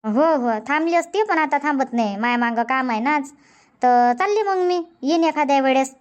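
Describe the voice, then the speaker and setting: A woman's voice: high voice, moderate pace, lo-fi sound, lightly acted. A woman voicing a busy, chatty village auntie in a comedy cartoon, rambling in a folksy way with a Varhadi Marathi accent from Vidarbha. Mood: explaining.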